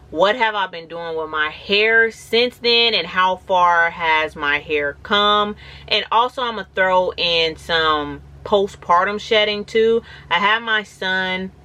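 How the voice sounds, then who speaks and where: Slightly raspy woman rambling to camera. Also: lowish voice, pushed and bright. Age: 30s. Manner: rambling, conversational, many "like"s. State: hoarse from a cold, with braces. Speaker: natural hair vlogger. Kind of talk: casual talking-to-camera vlog.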